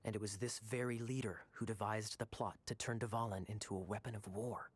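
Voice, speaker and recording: Suave, sly young knight captain. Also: male voice, on the low side, fairly even intonation, husky, no echo. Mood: sly, measured.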